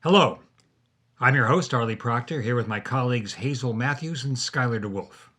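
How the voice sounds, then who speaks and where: A man's voice: mid-range voice, normal speed, fairly bright tone. A man hosting and narrating a scripted podcast as dramatic storytelling. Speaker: host and narrator.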